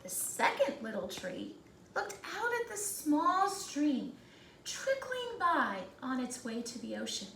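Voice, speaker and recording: A woman narrating a children's storybook aloud with deliberate, dramatic phrasing. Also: high-pitched, big pitch swings, creaky, a bit bright. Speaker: storybook reader. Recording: roomy echo, faint background noise.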